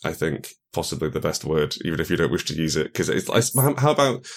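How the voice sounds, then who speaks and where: Low-voiced man chatting about games. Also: sing-song, quick pace. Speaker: guest game designer. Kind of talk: casual chat about role-playing games.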